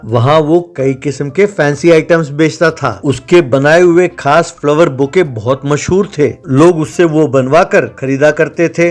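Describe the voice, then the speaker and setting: A man narrating a scripted moral story as its storyteller. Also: fairly high pitch.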